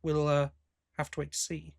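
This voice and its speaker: A man's voice: fairly high pitch, monotone, moderate pace, gravelly. A man co-hosting a podcast in casual chat, giving his opinions informally and bluntly. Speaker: podcast co-host.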